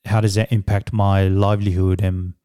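A middle-aged man, a native Samoan speaker, answering interview questions in a measured, reflective way as a business coach. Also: deep voice, level tone, dark tone, smooth, no room sound, clean studio sound. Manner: measured, reflective, with fillers and restarts.

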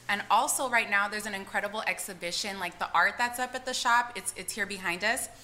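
A woman's voice: mid-range voice, a little fast, pushed and bright. A young woman co-hosting a podcast, introducing guests in an eager, casual way. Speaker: podcast co-host.

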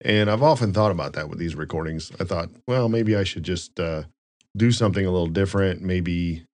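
A man with an American accent and a fairly low voice, recorded close and dry. He chats casually and rambles through stories in a joking way, like a podcast host. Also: brisk, dry recording. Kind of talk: casual chat and storytelling.

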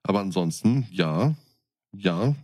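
German dude w a really deep voice, cohost on a podcast, chatting casually. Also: male voice, lively intonation, slow, a little mellow, breathy edge. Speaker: podcast co-host.

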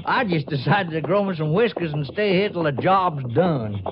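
A man's voice: a little high, lively intonation, moderate pace, close to an everyday voice. A man acting a friendly, talkative backcountry crew foreman in a 1950s radio western, with an easygoing country drawl. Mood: chatty. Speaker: supporting actor in a radio drama. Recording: muffled, noisy 1950s radio recording.

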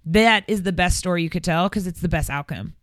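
A woman's voice: lowish voice, a little fast, dry recording, clean studio sound. A young woman telling a story in casual, slangy chat as a podcast guest, laughing often.

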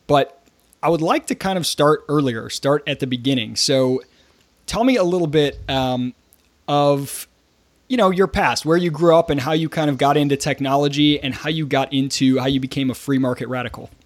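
A man's voice: a little high, moderate pace, no echo. A man hosting an interview podcast, talking casually with plenty of fillers and restarts as he introduces his guest and asks questions. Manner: chatty, with frequent restarts and fillers. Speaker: podcast host.

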